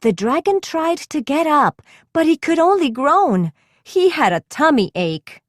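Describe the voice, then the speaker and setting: A woman narrating a children's storybook read-aloud in an expressive, deliberate storytelling manner. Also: high voice, dry recording, studio quality.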